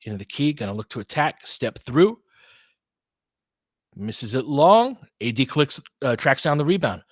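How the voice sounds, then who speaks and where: Male fan commentator, mid-pitched, dry recording. Clipped live hoops play-by-play, openly partisan, slangy. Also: lively intonation, unhurried, dry recording.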